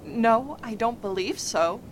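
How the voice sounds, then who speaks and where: A woman's voice: a little high, takes it slowly, noisy background. A woman voicing an insistent young woman. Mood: urgent. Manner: urgent and insistent. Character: young, impatient female daughter. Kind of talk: amateur radio drama acting.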